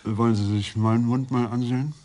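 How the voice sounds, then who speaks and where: An actor playing a skeptical, quick-witted police detective with a teasing manner. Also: male voice, medium pitch, fairly even intonation, dark tone, natural voice. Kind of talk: radio drama acting.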